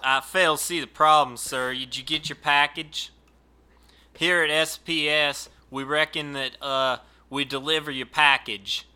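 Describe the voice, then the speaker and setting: A man playing a dim rural customer service rep on the phone, speaking in a put-on Southern drawl with a slow, stammering, folksy manner. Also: a little high, fairly even intonation, pushed and bright, clean tone.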